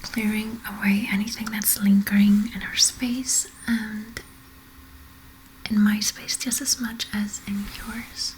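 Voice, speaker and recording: A woman speaking in a soft, breathy whisper as an ASMR host, gently and hesitantly guiding listeners to relax. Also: mid-range voice, flat delivery, takes it slowly, slight background noise, rough recording. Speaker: ASMR host, energy healer.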